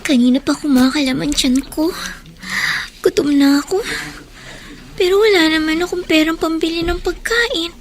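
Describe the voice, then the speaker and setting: A woman's voice: very high voice, moderate pace, long pauses. A woman playing a poor, hungry, dutiful child in Filipino radio drama, plaintively talking to herself, with a Filipino accent. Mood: weary, plaintive. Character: poor hungry schoolchild, dutiful and self-pitying.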